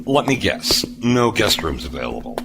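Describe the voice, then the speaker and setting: An actor playing a retired starship captain in an audio drama, wry, understated and measured. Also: male voice, low-pitched, sing-song, creaky, noisy background, heavily acted. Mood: probing.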